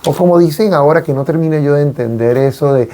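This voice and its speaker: A man in his forties from Venezuela, a priest, talking on a radio show in an unhurried, reflective way with frequent hesitations. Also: a little high, warm tone. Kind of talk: casual conversation on a radio talk show.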